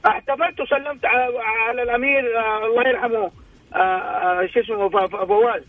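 A Hijazi Saudi man calls a show over a thin, tinny phone line. His voice is very high for a man and husky, and he rambles heatedly, repeating himself. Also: steady tone, brisk, dry recording. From Mecca, Saudi Arabia. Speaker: phone-in caller. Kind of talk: heated casual fan chat. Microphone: telephone.

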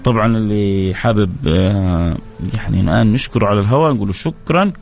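Fast gravelly-voiced radio host. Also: male voice, on the low side, darkish tone. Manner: reads names and cities aloud, answering each with a blessing. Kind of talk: live radio shout-outs reading listener messages.

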